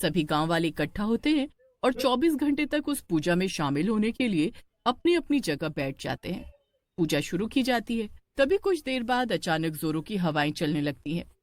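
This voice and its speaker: A woman narrating a scripted horror cartoon story in an expressive storytelling style. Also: medium pitch, normal speed, no echo.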